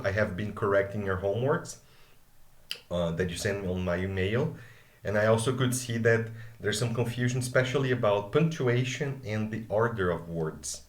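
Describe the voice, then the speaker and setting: Brazilian man teaching English, roomy echo. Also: fairly low pitch. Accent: Brazilian Portuguese. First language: Portuguese. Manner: patient, explaining, often checks in with "okay?". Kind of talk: live online grammar class. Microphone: video call.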